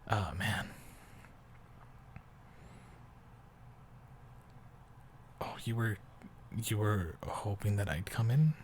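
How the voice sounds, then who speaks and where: Soft, slow-talking affectionate boyfriend played by a man. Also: lowish voice, slight background noise, natural voice. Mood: gentle, concerned. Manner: soft, halting, rambling, often dropping toward a whisper. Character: affectionate, doting young boyfriend, soft and rambling. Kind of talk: improvised intimate roleplay audio, sleep aid.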